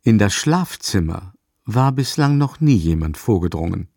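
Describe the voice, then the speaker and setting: A composed storyteller, narrating a literary radio play. The voice is low and recorded close and dry. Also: male voice, sing-song, moderate pace, creaky, mellow, no echo, full character voice.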